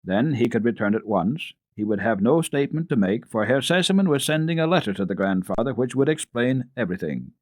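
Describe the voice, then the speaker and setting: A man narrating a children's classic as a radio storyteller, in a measured, expressive, formal reading. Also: mid-range voice, no room sound.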